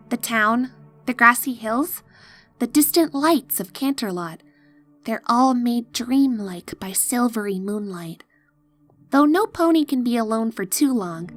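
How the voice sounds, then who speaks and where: A woman voicing a studious young female pony stargazer, awed and inquisitive, in expressive, deliberate first-person storytelling. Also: a little high. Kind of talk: dramatic first-person audiobook narration. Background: background music.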